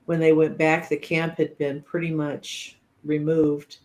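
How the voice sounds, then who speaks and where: A man in casual conversation, telling stories haltingly and recalling details piece by piece. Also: fairly high pitch, monotone.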